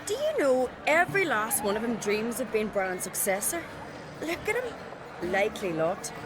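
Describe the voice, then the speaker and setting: A woman's voice: on the high side, wildly swooping pitch, normal speed, background noise. An actress playing a spirited, cheeky young noblewoman, a jarl's bold daughter in a fantasy video game. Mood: mocking. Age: young adult.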